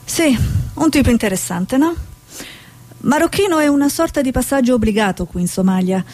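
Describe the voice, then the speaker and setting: Woman TV reporter, radio drama, higher-pitched, probing, ironic, self-assured. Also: lively intonation, fairly quick, a little mellow. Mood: confiding, matter-of-fact. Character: determined, wry woman TV war reporter.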